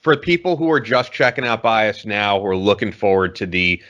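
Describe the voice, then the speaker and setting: A man co-hosts a podcast and asks his questions in an easy, friendly way. His voice sits fairly low yet sounds bright. The audio is muffled and lo-fi. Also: lively intonation, moderate pace. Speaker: podcast co-host. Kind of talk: casual interview talk.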